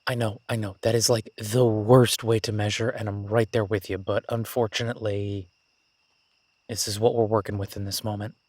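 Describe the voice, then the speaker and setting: A man playing an excitable, nerdy young academic on his first jungle expedition in a roleplay audio drama, gushing, stammering and apologetic. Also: lowish voice, moderate pace, dry recording, close to an everyday voice. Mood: flustered, explanatory. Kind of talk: immersive roleplay audio drama, one-sided conversation.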